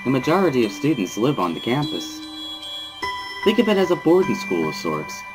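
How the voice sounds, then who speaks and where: Male reader as reassuring male doctor, higher-pitched, measured, formal, placating, visual novel drama, music behind. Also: wildly swooping pitch. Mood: calm, placating.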